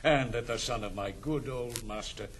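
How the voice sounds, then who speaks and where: An actor playing a loyal, deferential household steward in a period stage comedy, speaking earnestly. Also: male voice, medium pitch, normal speed, lightly acted. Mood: grateful, hopeful. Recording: noisy 1960s studio album.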